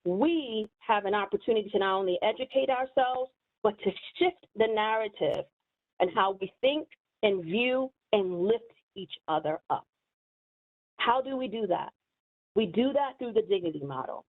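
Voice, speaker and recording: A woman's voice: medium pitch, expressive. Earnest woman host over a tinny line. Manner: earnest and deliberate. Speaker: event host. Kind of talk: prepared remarks introducing speakers. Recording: tinny phone-like sound.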